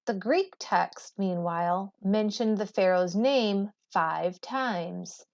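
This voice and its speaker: A woman teacher reading a textbook aloud to students in a measured, deliberate way. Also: mid-range voice, vocal fry. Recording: dull lo-fi recording.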